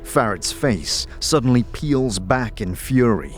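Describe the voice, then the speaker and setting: A man narrating a dark fantasy audio drama in a solemn, measured, dramatic way. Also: mid-range voice, lively intonation. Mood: ominous. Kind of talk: scripted second-person audio drama narration. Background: music.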